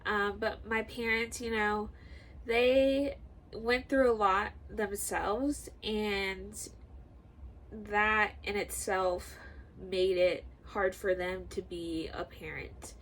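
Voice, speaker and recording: Young woman, stuffy nasal voice, slow unhurried chat, faint hiss behind. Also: medium pitch, fairly even intonation, fairly bright tone, rough recording. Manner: chatty, rambling, full of likes and ums. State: nasal congestion. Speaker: YouTuber. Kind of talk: sit-down get to know me vlog.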